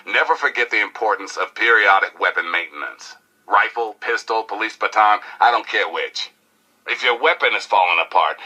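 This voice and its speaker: A brash rebel DJ in a post-apocalyptic game. His voice is very forceful and bright, with pitch swooping in showy, irreverent radio patter. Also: slightly rough, heavily acted, low-quality recording. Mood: brisk, irreverent. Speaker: radio DJ and newsreader.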